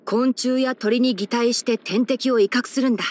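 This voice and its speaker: A woman's voice: on the high side, steady tone, normal speed, darkish tone, close to an everyday voice. A woman voicing a young male fox-eared ranger in a video game, scholarly and sensible, speaking in a composed, articulate, mildly wry way. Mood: curious, explaining. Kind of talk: scripted video game character voice-over.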